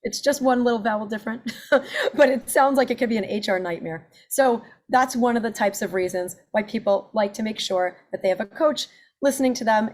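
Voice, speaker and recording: A woman in her forties, an accent and presentation coach, giving an upbeat webinar pitch of her services. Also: darkish tone. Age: 40s. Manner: upbeat, self-promoting, listing services.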